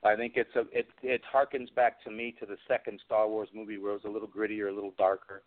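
A low-voiced man giving an interview over a narrow, phone-like connection. He talks casually and rambles from one opinion to the next, like a guest chatting on radio. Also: little pitch movement, fast talker. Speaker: guest, film special effects artist. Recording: band-limited phone-like radio sound.

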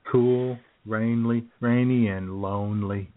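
A man's voice: mid-range voice, fairly even intonation, takes it slowly. American man co-hosting, thin tinny audio. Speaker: radio show co-host. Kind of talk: casual comedic banter. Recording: tinny band-limited remote audio.

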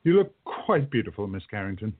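A man playing a kindly, courteous time-travelling shopkeeper in a radio play, speaking gently and a little hesitantly. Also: lowish voice, big pitch swings, fairly quick, phone-quality sound. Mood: courteous, cautioning.

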